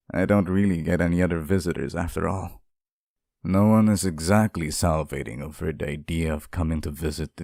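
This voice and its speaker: Man playing a low, gravelly, clingy demon. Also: darkish tone, no echo, studio quality. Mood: wistful. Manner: tender, hesitant, wheedling, addresses one listener closely. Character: sealed male demon, centuries old, clingy, sweet, pleading. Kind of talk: ASMR roleplay, intimate dramatic monologue.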